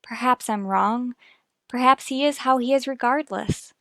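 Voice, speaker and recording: A woman's voice: fairly high pitch, unhurried, sharp tone, dry recording, studio quality. An actress playing a worried, earnest young mother in a vintage radio soap opera, confiding in a careful, hesitant way. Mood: troubled, confiding.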